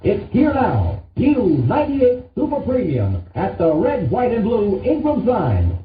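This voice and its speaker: A man's voice: high voice, huge pitch swings, unhurried. A man selling in the style of an old-time radio advertisement announcer. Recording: muffled vintage radio, roomy, distant.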